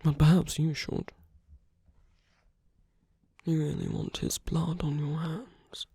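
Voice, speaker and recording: A man playing a suave, teasing villain in an intimate ASMR roleplay, murmuring softly and often slipping into whispers. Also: a little high, wildly swooping pitch, takes it slowly, no echo, heavily acted. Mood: scornful, persuasive. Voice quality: soft, breathy. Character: suave, teasing male villain at a royal masquerade.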